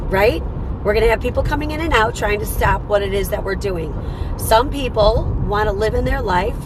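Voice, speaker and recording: A woman with a hoarse, rough, tired voice hosting a motivational live stream, talking earnestly and repeating her points. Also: fairly high pitch, background noise. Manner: repetitive, earnest, coaching tone with many rhetorical "right?".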